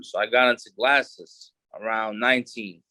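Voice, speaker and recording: A man's voice: on the low side, moderate pace, no echo. A man in his thirties with a New York accent, talking casually as an interview guest with lots of fillers and restarts. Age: 30s. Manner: relaxed street talk with many fillers and restarts.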